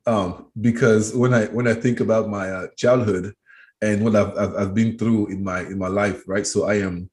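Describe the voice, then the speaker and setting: A man's voice: on the low side, fairly even intonation, moderate pace, darkish tone. A man in his thirties from Cameroon, answering interview questions thoughtfully, often restarting and repeating words as he thinks. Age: 30s. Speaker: guest, education trainer. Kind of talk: interview conversation.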